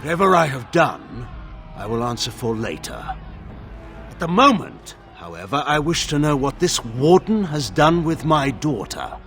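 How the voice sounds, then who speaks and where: An actor playing a proud, aging warlord regent in a fantasy game, declamatory and scornful. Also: male voice, fairly high pitch, creaky, sharp tone, exaggerated voice. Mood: angry demand. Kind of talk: theatrical fantasy game acting.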